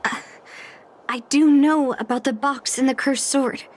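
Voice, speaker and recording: A woman's voice: sits high, steady tone, a little slow, a little hiss or hum. An actress playing a stubborn, terse young woman in a video game, speaking emphatically and guardedly. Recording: music behind the voice.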